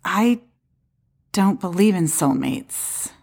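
An actress playing a skeptical but helpful apartment dweller in an audio play, speaking in a hesitant, conversational way. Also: female voice, lowish voice, lively intonation, slow pace, gravelly, a little mellow, slightly rough, heavily acted. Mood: skeptical, wistful.

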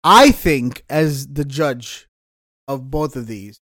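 A man's voice: mid-range voice, animated, slow, a bit bright, dry recording, studio quality. A man co-hosting a podcast in casual chat, blunt and slangy with teasing banter. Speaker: podcast co-host.

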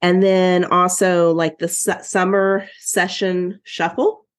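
This woman has a stuffy, nasal voice that sits a little low for her, and she talks in a loose, chatty way. She is a therapist co-hosting a podcast, and she often trails off partway through a thought. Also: level tone, takes it slowly. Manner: chatty, self-deprecating, trails off mid-sentence. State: congested, recovering from covid. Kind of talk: casual chat.

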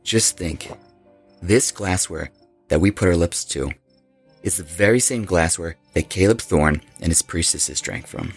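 A man's voice: sits low, measured pace, husky, faint music behind, heavily acted. A man playing a laid-back rock musician with an interest in the occult in an audio horror drama. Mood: intrigued.

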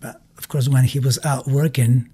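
Musician guest, male, middle-aged, Bulgarian accent. Relaxed, warm tone, medium pitch, searches for words while recounting memories. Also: husky. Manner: halting, searching for words in non-native English. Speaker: musician being interviewed. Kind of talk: casual interview, personal storytelling.

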